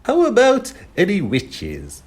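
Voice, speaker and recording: Loud stranger ticking off a list, voiced by the reader guy, bit raspy. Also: high voice, wildly swooping pitch, slow, pitched above the performer's own voice, exaggerated voice. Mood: methodical. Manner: loud, methodical questioning. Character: loud man outside working down a list.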